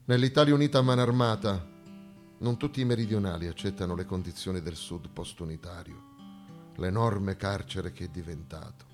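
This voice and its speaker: A man performing a rhetorical stage monologue, emphatic and swinging between hushed and loud delivery. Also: sits low, moderate pace, soft background music. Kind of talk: theatrical monologue on history.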